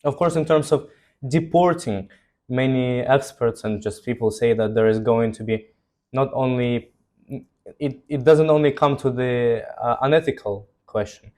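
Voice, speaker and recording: A man's voice: medium pitch, measured pace, darkish tone. A young man hosting a podcast, putting questions to his guest in somewhat hesitant phrasing. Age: young adult. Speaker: podcast host, interviewer. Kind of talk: interview questioning.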